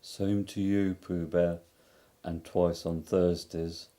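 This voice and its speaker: A man voicing a gloomy, melancholy old male donkey who grumbles slowly and mournfully. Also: mid-range voice, animated, warm tone, voice pushed up. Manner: slow, mournful, self-pitying.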